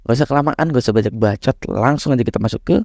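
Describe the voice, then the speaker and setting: A young man, hoarse and nasal from a cold, chatting casually to viewers as he tells a horror story. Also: medium pitch, rapid-fire, a little mellow, no room sound, clean studio sound. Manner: chatty, addresses viewers as guys. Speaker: horror storyteller, channel host.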